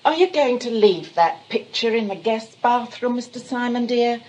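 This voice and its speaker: A woman's voice: fairly high pitch, expressive, normal speed, darkish tone, close to an everyday voice, poor audio. An actress playing a blunt, motherly British housekeeper in a 1920s stage comedy, homely, colloquial and matter-of-fact. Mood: disapproving. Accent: British English. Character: blunt, motherly female housekeeper and former theatrical dresser. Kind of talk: 1920s drawing-room comedy acting.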